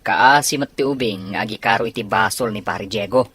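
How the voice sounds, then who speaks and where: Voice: mid-range voice, normal speed, a bit bright, breathy edge, dry recording, pitched below the performer's own voice. A performer putting on an older village neighbor who gossips with pity in a radio drama. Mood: gossiping. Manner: clucking, sympathetic gossip.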